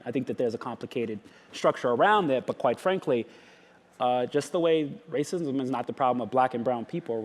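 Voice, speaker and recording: A man speaking as a panelist at a live conference discussion, earnest and thoughtful, with frequent fillers and restarts. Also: mid-range voice. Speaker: nonprofit founder, panelist. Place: conference hall. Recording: long hall reverb.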